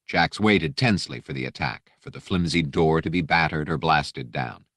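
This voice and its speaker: Male audiobook narrator, extremely low voice, dramatic fiction read, very dry close sound. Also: moderate pace, fairly bright tone, no echo.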